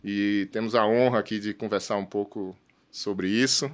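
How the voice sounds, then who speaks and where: A man with a Brazilian Portuguese accent hosting a conversational business discussion in a halting way, often adding tag questions. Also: lowish voice, steady tone. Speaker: host.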